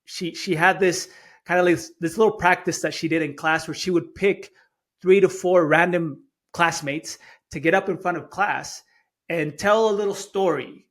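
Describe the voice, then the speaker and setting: A middle-aged man who grew up in Chile and spoke Spanish first tells a personal story as a podcast guest. His voice sits a little high for a man. He talks earnestly and often repeats a word or two before a sentence gets going. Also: normal speed, a bit bright. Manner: earnest, repeats words when starting phrases. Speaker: guest speaker. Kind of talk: storytelling in an interview.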